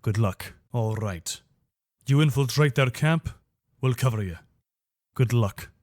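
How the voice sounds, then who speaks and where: A man's voice: medium pitch, slow pace, darkish tone, no echo, studio quality, close to an everyday voice. A man playing a loyal, wary soldier of an imperial legion in a video game, speaking in an earnest, soldierly manner. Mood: earnest, steady. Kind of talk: video game character voice lines.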